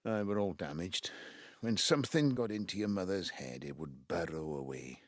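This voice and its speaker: An actor playing an embittered, wistful Welsh father in his seventies, with a South Wales Valleys accent and a halting, reflective delivery in an audio drama. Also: lively intonation, no room sound, studio quality. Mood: bitter, brooding. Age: 70s. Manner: halting, reflective, breaking into low muttering. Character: embittered ageing Welsh patriarch, wistful and self-justifying. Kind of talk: naturalistic audio drama monologue.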